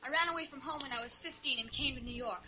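A woman's voice: high voice, fast talker, lightly acted. A woman playing a defiant, sarcastic nineteen-year-old female drug addict in old-time radio drama. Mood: weary. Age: young adult. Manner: sarcastic, hard-boiled. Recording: muffled, noisy vintage radio recording.

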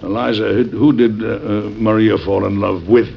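A man's voice: on the low side, flat delivery, moderate pace, gravelly. An actor playing an exasperated, blustering father and president, with sarcastic, indignant questioning, in old-time radio drama style. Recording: dull, noisy old radio recording.

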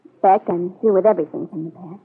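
A woman's voice: fairly low pitch, fast talker, slightly rough. An actress playing a hurt, resolute young woman in a 1940s radio soap, emphatic and melodramatic. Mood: firm, wounded. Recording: muffled old radio broadcast.

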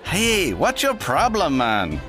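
A man's voice: fairly high pitch, wildly swooping pitch, takes it slowly, bright, forceful tone, soft background music, heavily acted. A man playing a humourless, puzzled, touchy tavern musician in a fantasy audio drama, hesitant and baffled. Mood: irritated, baffled.

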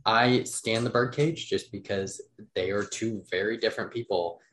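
Young adult man, podcast guest, low-voiced, hesitant and casual. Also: moderate pace, a bit bright, a little hiss or hum, poor audio. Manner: hesitant, many "um"s and restarts. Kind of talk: casual chat.

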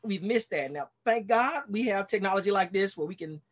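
A Texan man in his forties, a pastor speaking as a guest on a video call. His voice sits fairly high, and he tells his story in an earnest, rambling way with frequent fillers and restarts. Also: lively intonation, fairly quick. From Dallas, Texas. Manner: earnest, rambling, many "you know"s and restarts. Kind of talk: casual interview storytelling.

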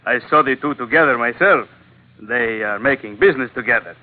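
An actor playing a sly, unruffled foreign go-between with halting non-native phrasing in an old-time radio drama. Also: male voice, mid-range voice, lightly acted. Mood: sly, amused. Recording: tinny old radio broadcast.

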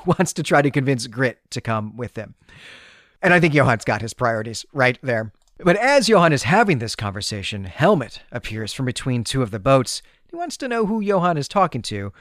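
A man's voice: mid-range voice, a bit bright, no echo, studio quality. A man hosting a podcast in a conversational, analytical way, thinking aloud as he recaps and discusses a story. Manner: analytical, thinking aloud with repeated hedging asides. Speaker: podcast host.

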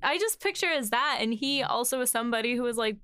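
A woman's voice: a little high, lively intonation, fast talker, sharp tone, clean tone, dry recording, clean studio sound. A woman hosting a casual behind-the-scenes chat as a writer, talking informally with lots of "like" and "you know". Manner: chatty, informal, says "like" and "you know" often. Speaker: host, series writer.

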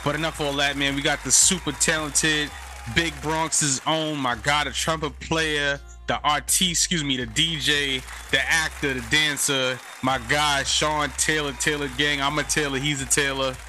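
A New York man hosts a casual podcast, hyping his guest in loose street slang. His voice is very bright and forceful, and faint music plays behind him. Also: a little high, little pitch movement, moderate pace. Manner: hype introductions, loose street talk. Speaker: podcast host. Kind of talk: casual interview.

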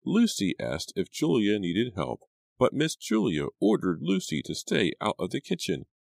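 A man's voice: fairly low pitch, wildly swooping pitch, moderate pace, gravelly, a little mellow, no room sound, pitched above the performer's own voice, full character voice. A man voicing a firm, fussy housekeeper woman in an apron who talks in a firm, insistent way, recorded dry and close.

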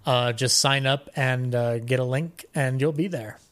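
A man talking casually as a podcast guest, halting and effusive in manner. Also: medium pitch, fairly bright tone. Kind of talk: casual chat.

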